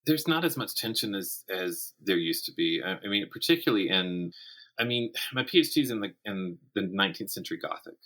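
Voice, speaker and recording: A middle-aged man with a deep voice and a bright, forward edge speaks as an interview guest. His delivery is informal and thoughtful, often rephrasing as he explains. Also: lively intonation, brisk. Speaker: guest, writer and college teacher. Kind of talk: casual interview conversation.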